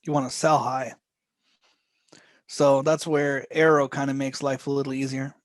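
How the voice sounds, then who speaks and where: A man teaching a live trading session, his voice going hoarse as he talks, with loose, rambling phrasing, restarts and frequent throat clearing. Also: medium pitch, flat delivery, normal speed, no room sound, clean studio sound. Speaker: trading instructor.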